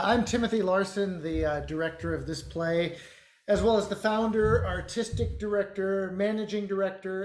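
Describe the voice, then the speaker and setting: A self-important male theater director, played by a man, running an online audition. He is officious and halting, full of small hesitations. The voice rings in an echoey room over a video call. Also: a little high, a little mellow, close to an everyday voice. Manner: halting, officious, hems and haws. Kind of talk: naturalistic dialogue in an online one-act play.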